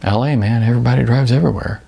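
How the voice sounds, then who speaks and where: Man doing a wary, husky reluctant sidekick. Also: medium pitch, very fast, mellow. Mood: dry.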